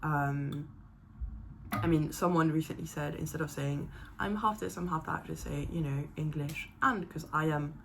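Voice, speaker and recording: A woman's voice: low-pitched, moderate pace, creaky, fairly bright tone, slight background noise, poor audio. A young woman whose first language is French, chatting casually on her vlog with lots of fillers and restarts. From born in Zimbabwe, raised partly in Paris. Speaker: vlogger. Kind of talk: casual chat.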